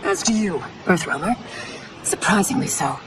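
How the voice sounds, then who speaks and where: A woman's voice: medium pitch, expressive, normal speed, noisy background, natural voice. A woman voicing a proud, loyal young warrior princess in a video game, speaking with resolve. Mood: resolute. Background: game music.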